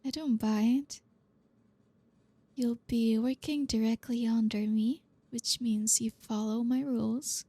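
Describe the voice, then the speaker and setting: A woman's voice: on the high side, fairly even intonation, a little slow, warm tone, dry recording. A woman playing a flirty, teasing, dominant boss in an ASMR roleplay, speaking breathily and often slipping into a whisper. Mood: commanding, teasing.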